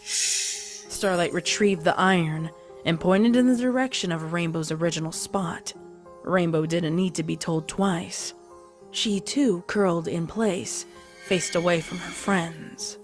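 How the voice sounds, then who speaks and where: A woman narrates a story in a fairly low voice. Her delivery is scripted and dramatic, with music underneath. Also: unhurried.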